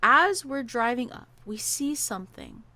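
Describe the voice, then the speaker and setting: A young woman from Hawaii co-hosting a podcast, telling a story in a lively, laughing way. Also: fairly high pitch, unhurried. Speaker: podcast co-host. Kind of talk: casual storytelling.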